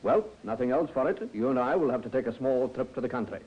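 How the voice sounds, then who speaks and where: An actor playing a courteous, probing police inspector in a radio drama. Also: male voice, medium pitch, expressive, fast talker, darkish tone, husky. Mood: relaxed. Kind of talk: old-time radio drama acting. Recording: muffled old radio recording.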